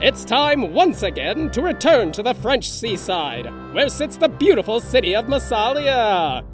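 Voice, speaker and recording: A man's voice: very high-pitched, big pitch swings, a little slow, heavily acted, poor audio. A man playing a dramatic narrator, reading a scripted adventure serial. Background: music.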